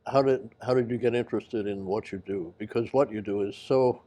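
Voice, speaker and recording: Mellow low-voiced male host. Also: steady tone, fairly quick. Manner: gentle, hesitant, many ums. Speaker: talk show host. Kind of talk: interview.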